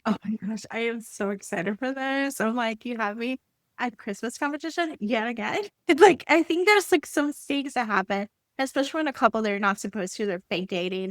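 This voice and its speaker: A woman's voice: a little high, fairly quick, no room sound, studio quality. A woman hosting a casual, chatty book interview in an enthusiastic, gushing way. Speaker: podcast host interviewing an author.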